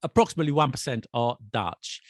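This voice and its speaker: A man hosting a casual language podcast, chatty and quick with asides and restarts. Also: mid-range voice, lively intonation, dry recording, studio quality. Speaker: podcast host and English teacher. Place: Paris.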